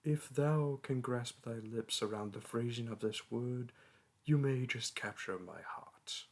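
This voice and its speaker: A man's voice: medium pitch, a little slow, slight background noise, lightly acted. A man voicing a proud, ancient male dragon teacher who speaks in grand, archaic, formal phrases in a romantic audio roleplay. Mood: haughty, tender.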